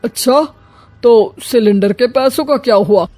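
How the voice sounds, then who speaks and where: Woman as older father, wry, long-suffering, commiserating, fairly high pitch, cartoon comedy. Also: unhurried, a little mellow. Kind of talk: cartoon family comedy acting.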